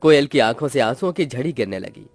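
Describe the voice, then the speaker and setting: A man's voice: mid-range voice, animated, brisk, darkish tone, no room sound. A man narrating a moral cartoon story in a scripted, melodramatic storytelling style.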